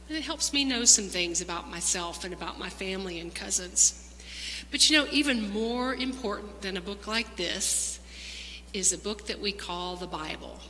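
A woman's voice: medium pitch, bright, forceful tone. A woman leading a children's moment in a church service, speaking simply and conversationally to young listeners. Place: large church sanctuary. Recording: reverberant church hall, humming background noise.

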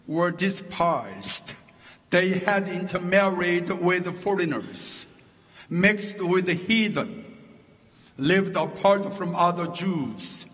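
A man with a Korean accent, whose first language is Korean, preaching a sermon as pastor in a slow, deliberate way, stressing his points through lists. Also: high voice, a bit bright. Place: church sanctuary. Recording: long reverb in a church sanctuary.

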